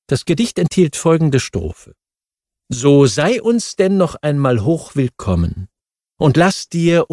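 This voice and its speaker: A man reads non-fiction history as a scripted audiobook, measured and articulate. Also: mid-range voice, dark tone, no echo, clean studio sound. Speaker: audiobook narrator.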